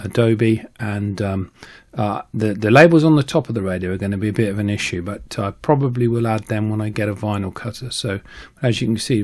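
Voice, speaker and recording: A man with a fairly low voice narrates a hobby tutorial as a voice-over. He speaks casually and conversationally, pausing often and filling gaps with ums as he explains his process. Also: darkish tone. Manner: hesitant, conversational, with frequent pauses and ums. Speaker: video creator and hobbyist prop maker. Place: voice-over recording.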